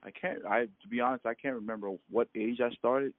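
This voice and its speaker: A man from Hawaii and American Samoa, recalling his past in a halting, casual phone interview full of fillers. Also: medium pitch, fairly even intonation. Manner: halting, many fillers and restarts, laughs often. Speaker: interviewee, former ballplayer. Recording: tinny phone line with light noise.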